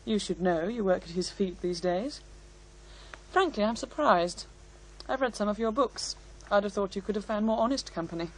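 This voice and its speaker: A woman's voice: mid-range voice, lively intonation, moderate pace, lightly acted, poor audio. A woman in a radio play acting a composed, bitter daughter who speaks in a firm, articulate and scornful way. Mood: scornful, guarded.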